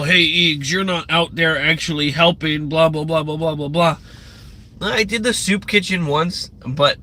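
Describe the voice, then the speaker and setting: A man's voice: a little high, bright, forceful tone. A young man hosting a livestream, ranting loosely with plenty of fillers and swearing. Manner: loose, profane, meandering talk with many fillers. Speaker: livestream host. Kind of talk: rambling live rant.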